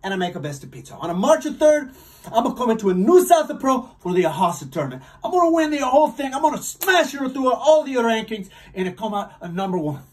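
A man's voice: very high-pitched, big pitch swings, a little fast, distant mic. A man with an Italian accent giving a boastful, theatrical wrestling promo as a pizza-maker character, adding extra vowels to words. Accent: Italian-accented English.

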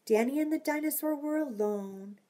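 A woman's voice: high voice, normal speed, mellow. A middle-aged woman reading a storybook aloud to young children, with chatty, encouraging asides and simple questions. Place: small home room, indoors.

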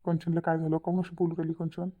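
A man acts out a lovesick young village son in a Marathi cartoon, with a rural Maharashtrian accent. He talks extremely fast and broods, repeating himself as he goes. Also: on the high side, monotone, phone-quality sound, lightly acted. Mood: worried, determined. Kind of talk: cartoon comedy dialogue.

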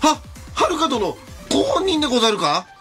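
Excitable geeky male idol fan, acted by a man, theatrical and stammering, widely swinging pitch, radio comedy. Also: fairly quick, soft background music, full character voice. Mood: flustered, adoring. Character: excitable nerdy male anime idol superfan, archaic samurai-style speech. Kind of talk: comic radio skit.

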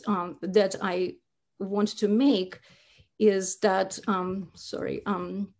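Woman, young adult, earnest, halting restarts, mellow tone, laptop mic. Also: medium pitch. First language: Russian. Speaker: linguistics speaker. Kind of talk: academic talk over slides.

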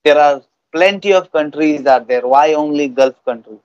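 High-pitched, clear Indian male English trainer. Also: normal speed, a little mellow, no echo, dull audio. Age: young adult. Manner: repeats phrases for emphasis. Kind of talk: conversational English speaking practice. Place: indoors, on a video call.

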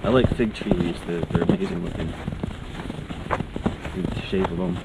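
A man's voice: low-pitched, moderate pace, creaky. A man chatting casually as a companion on an outdoor walk. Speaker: hiking companion. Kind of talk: casual chat while walking. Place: outdoors on a snowy woodland trail. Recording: very noisy outdoor recording.

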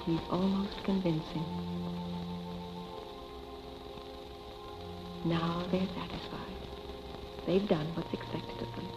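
An actress playing a poised, wry young wife in 1940s film style, cool and arch in manner. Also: female voice, fairly low pitch, lightly acted. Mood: wry, musing. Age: young adult. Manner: cool, arch, composed irony. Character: wry, poised young wife attending her own funeral. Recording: dull old film soundtrack with music.

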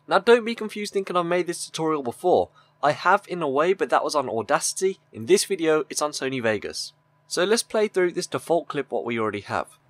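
A young man giving a casual, upbeat YouTuber voice-over for a software tutorial. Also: fairly high pitch, animated, brisk, no echo. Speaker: tutorial video host. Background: background music.

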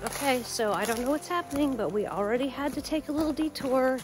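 A woman's voice: high voice, fast, over music, rough recording. A woman in her forties co-hosting a casual hiking vlog, wry and mildly worried, speaking outdoors in winter woods into a phone. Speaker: vlog co-host. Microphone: handheld phone camera.

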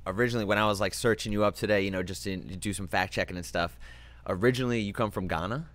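A young man with a very deep voice hosts a relaxed interview into a desk microphone. He is easygoing and swears casually as he talks. His questions come out loose and conversational. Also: quick pace. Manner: laid-back, swears casually. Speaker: host. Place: indoor streaming room with gaming chair. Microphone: desk microphone on arm.

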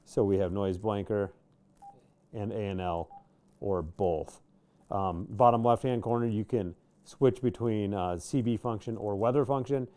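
An American man, around his thirties, giving a casual product demonstration in an informal, halting way with pauses. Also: lowish voice, dark tone, clear, resonant tone. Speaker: product review presenter. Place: small indoor workshop studio.

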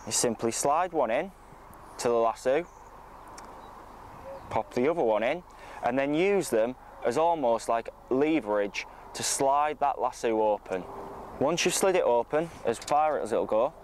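A man's voice: medium pitch, expressive, unhurried, darkish tone. A man, giving an informal, matter-of-fact tutorial to camera as a coach. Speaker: fishing coach presenting a tutorial. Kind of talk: informal instructional talk to camera. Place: outdoors on a lakeside bank. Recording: outdoor ambient noise.

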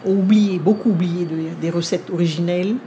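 An older woman whose first language is Vietnamese, speaking in a sit-down interview in a living room, measured and thoughtful with frequent pauses. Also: lowish voice, steady tone, dark tone, dull audio, slight background noise. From India. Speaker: cookbook author.

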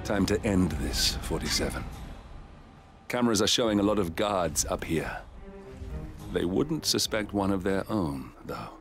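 An actor playing a calm, politely instructive male handler who guides an assassin remotely in a video game. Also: sits low, over music. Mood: calm, guiding. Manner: measured, composed, politely instructive. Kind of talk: video game mission guidance dialogue.

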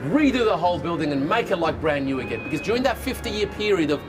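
A man's voice: a little high, sing-song, quick pace, music in the background. Australian man guiding a rooftop tour. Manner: storytelling, enthusiastic, lists places in rhythmic runs. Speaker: tour guide. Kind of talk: guided heritage tour talk. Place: rooftop of a city building, outdoors. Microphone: lapel.

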